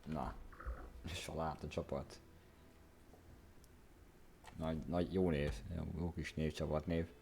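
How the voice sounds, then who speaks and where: A man's voice: extremely low pitch, steady tone, measured pace, pauses often, mellow, slight background noise. A man with a Hungarian accent giving casual commentary as he streams a game.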